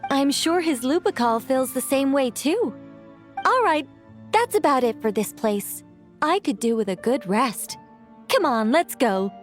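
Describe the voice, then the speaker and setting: A woman playing a whimsical young witch in a video game, self-assured and breezy, musing in a gently theatrical way. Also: high voice, animated, unhurried, faint music behind. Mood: musing, content.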